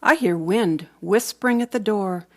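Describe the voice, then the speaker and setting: A woman narrates a children's storybook in a mid-pitched voice. She reads expressively, leaning on dramatic words and dropping into hushed moments. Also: unhurried. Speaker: storybook reader.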